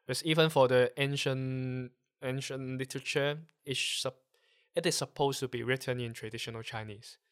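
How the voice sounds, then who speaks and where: Smooth-voiced man with a Hong Kong accent. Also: mid-range voice, steady tone, fairly bright tone. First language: Cantonese. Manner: halting, searching for words while explaining. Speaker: guest. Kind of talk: casual conversation.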